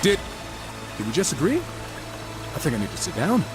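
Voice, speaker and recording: A man's voice: on the high side, wildly swooping pitch, normal speed. A man playing a cynical, wisecracking horseman and reformed killer in a video game, talking in a sardonic, informal way. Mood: wry. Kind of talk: game cutscene dialogue. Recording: music behind the voice.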